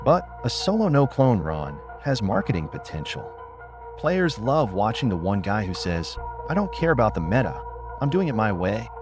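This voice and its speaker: A man's voice: lowish voice, sing-song, moderate pace. Jokey, teasing gamer guy done by a man. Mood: amused, teasing. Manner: bantering, amused, casual. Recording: music behind the voice.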